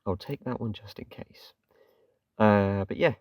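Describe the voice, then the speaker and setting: A man's voice: fairly low pitch, unhurried, a little mellow, distant mic. A man with an English accent chatting casually and a bit ramblingly as he talks through his game. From England. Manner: chatty, rambling, self-deprecating, lots of fillers like "kinda". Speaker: gaming channel host. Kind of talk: casual gameplay commentary.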